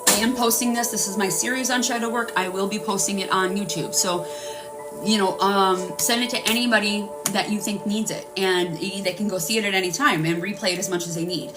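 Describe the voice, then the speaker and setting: A woman's voice: mid-range voice, fairly even intonation, brisk, distant mic. A woman hosting a live-streamed healing session, talking in an earnest, rambling way and guiding listeners through their breathing. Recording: voice a bit off-mic with music playing.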